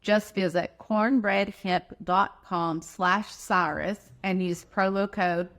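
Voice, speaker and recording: A woman's voice: mid-range voice, fairly even intonation, takes it slowly, a bit bright. A woman with an American accent reading a sponsor message in a conversational podcast style. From Kentucky, US. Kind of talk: conversational ad read.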